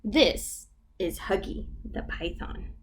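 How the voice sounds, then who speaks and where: Slow, sing-song woman reading to babies. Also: mid-range voice, lively intonation. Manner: slow, sing-song and exaggerated, with gasps and whispers. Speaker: children's librarian. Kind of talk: baby storytime reading.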